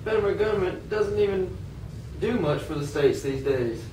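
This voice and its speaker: A man's voice: high-pitched, expressive, normal speed, a little mellow. A man playing a disgruntled old-time American working man in an amateur puppet show. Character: disgruntled 19th-century American working man, sceptical of politics. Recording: echoey room, distant mic, faint noise.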